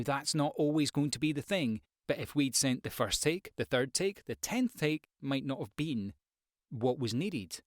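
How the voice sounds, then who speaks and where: A man's voice: medium pitch, no room sound. A man giving a conversational, rambling tutorial as a voice-over coach, speaking expressively close to the microphone. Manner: rambling, expressive with acted examples. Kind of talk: conversational tutorial talk to viewers.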